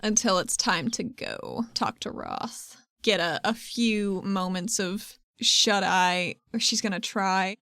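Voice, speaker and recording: A woman plays a tabletop game in a lively, joking manner. Her voice sits at an ordinary middle pitch. The recording is dry and close. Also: vocal fry, dry recording. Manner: lively, joking, quick to laugh. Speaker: player. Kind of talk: casual tabletop play.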